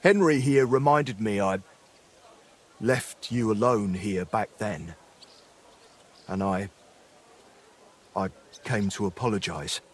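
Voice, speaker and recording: An actor playing a remorseful middle-aged former blacksmith in a dramatic game, speaking in an earthy, apologetic way. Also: male voice, lowish voice, slow pace, long pauses, husky. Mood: apologetic, emotional.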